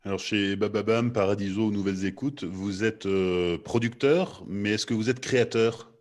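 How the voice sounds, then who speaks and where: Low-voiced man moderating a panel. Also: fairly even intonation, moderate pace, a little mellow. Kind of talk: interviewing a panel.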